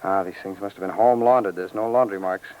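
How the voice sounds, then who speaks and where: An actor playing a methodical lawman, brisk and matter-of-fact, in 1950s radio police drama style. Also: low-pitched, narrow, tinny audio, close to an everyday voice. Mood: intrigued. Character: methodical, businesslike male lawman on a case.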